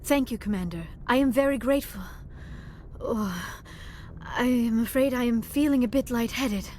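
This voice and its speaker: A woman's voice: fairly high pitch, normal speed, a little mellow, a little hiss or hum, lightly acted. A woman playing an earnest, bookish young alien scientist in a video game, speaking formally and precisely. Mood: grateful, apologetic. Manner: formal, precise wording with few contractions. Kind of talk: video game cutscene acting. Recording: music behind the voice.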